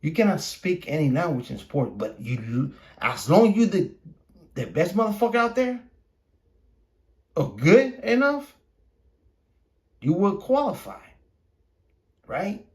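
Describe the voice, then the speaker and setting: A man with a fairly high voice talks at a slow, halting pace. He is a native Spanish speaker giving a blunt, opinionated podcast rant. His delivery is casual and repetitive, peppered with swearing. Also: very animated, a little mellow. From Puerto Rico. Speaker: podcast host.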